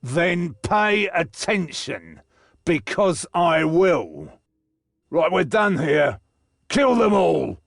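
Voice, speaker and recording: An actor playing a menacing, taunting male bandit leader with a gravelly voice in a game. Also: on the high side, sing-song, extremely slow, dry recording, full character voice. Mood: gloating, aggressive. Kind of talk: scripted game cutscene acting.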